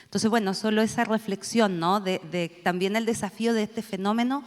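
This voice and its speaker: A woman's voice: mid-range voice, fairly even intonation, fairly quick. A Chilean woman speaking as a panelist and policy analyst, offering thoughtful, searching remarks with frequent filler sounds. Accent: Chilean Spanish. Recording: long reverb from a hall.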